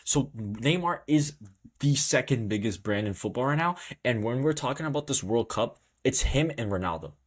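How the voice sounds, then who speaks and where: A man's voice: mid-range voice, lively intonation, normal speed. A man from Brazil co-hosting a football podcast, speaking with passion and repeating points for emphasis. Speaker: podcast co-host. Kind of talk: casual football discussion.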